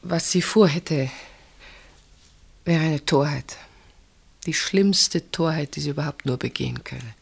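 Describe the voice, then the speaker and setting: An actress in a German radio play voicing an earnest, mature woman who speaks deliberately and confidingly, with weighted pauses. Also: deep voice, vocal fry, husky, exaggerated voice. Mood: earnest, grave. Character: earnest older woman, sister pleading for a marriage.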